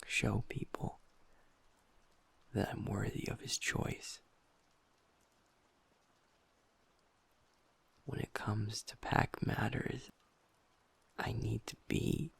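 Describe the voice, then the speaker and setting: A man playing a gravelly, whispery werewolf boyfriend. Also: on the low side, full character voice. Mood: earnest, resolute. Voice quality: soft, breathy, half-whispered. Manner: earnest, affectionate, talks to the listener as partner. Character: happy-go-lucky young male werewolf boyfriend, pack beta. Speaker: voice actor in audio roleplay. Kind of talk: intimate audio roleplay.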